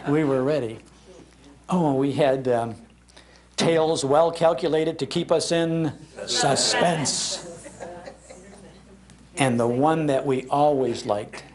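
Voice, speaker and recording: A man's voice: fairly high pitch, slow pace, long pauses, a little mellow. An older man with an American accent giving a nostalgic talk in an emphatic storytelling manner. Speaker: radio historian and broadcaster giving a talk. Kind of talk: nostalgic storytelling to a live audience. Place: public library talk.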